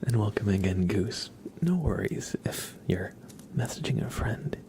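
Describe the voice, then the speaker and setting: Male ASMR streamer, whispery with heavy vocal fry, soft-spoken and unhurried, talking casually close to the mic. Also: lowish voice, lively intonation. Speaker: ASMR livestream host. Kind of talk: ASMR, casual chat to live viewers.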